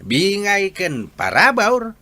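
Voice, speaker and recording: A man's voice: sits high, huge pitch swings, slow pace, pushed and bright, exaggerated voice. An actor playing a greedy older father in an Ilocano radio drama, insistent and chuckling, with an Ilocano accent. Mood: greedy, mocking. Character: scheming greedy older father demanding his share of money. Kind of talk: Filipino radio drama acting.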